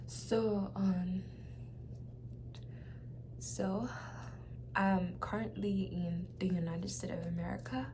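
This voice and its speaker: A young woman with a Nigerian accent shares a personal testimony in a casual, halting way, often repeating phrases for emphasis. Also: mid-range voice, flat delivery, noisy background. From Nigeria (Lagos). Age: young adult. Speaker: vlogger.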